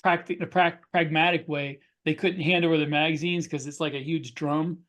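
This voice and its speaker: A man with a fairly high-pitched voice talks casually as a podcast co-host. He lays out his opinions in an explanatory way, as part of a loose discussion. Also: fairly even intonation, normal speed, fairly bright tone.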